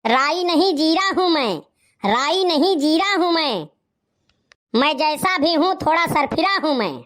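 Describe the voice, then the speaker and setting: A young village man for a comedy cartoon, with a very high, childlike voice. He is cheeky and sure of himself, delivering witty lines in a rustic Awadhi accent. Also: animated, unhurried, a bit bright. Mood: proud, boastful. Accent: Awadhi, eastern Uttar Pradesh.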